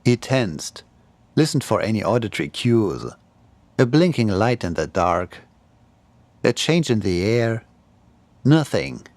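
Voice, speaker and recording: A man's voice: on the low side, very animated, slow, pauses often, darkish tone, no room sound. A man reading a scripted horror story as its narrator.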